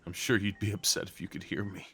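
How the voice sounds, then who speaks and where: A man playing a devoted, desperate crime boss at his lover's bedside in an intimate audio roleplay, speaking in a halting, emotional way. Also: low voice, flat delivery, creaky, fairly bright tone, husky, heavily acted. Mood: broken, pleading. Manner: slow, halting, emotional, pleading delivery.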